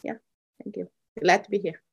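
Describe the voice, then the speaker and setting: A woman from Sudan, guest on a public health podcast, who talks in a halting way with many fillers and restarts. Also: medium pitch, fairly even intonation, creaky, husky, dull audio. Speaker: executive director of a worker center.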